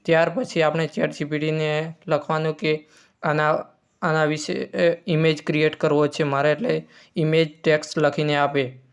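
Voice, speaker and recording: A man's voice: on the high side, completely flat delivery, normal speed, clean tone. A man hosting an informal step-by-step tutorial, using repetitive instructional phrasing. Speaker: tutorial host.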